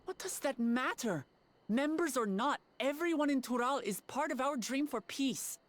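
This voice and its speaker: A woman's voice: high voice, lively intonation, normal speed. A woman voicing an earnest, bold young princess in a fantasy game, with a determined, declarative delivery. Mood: defiant. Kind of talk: fantasy video game acting. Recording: game music behind the voice. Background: music.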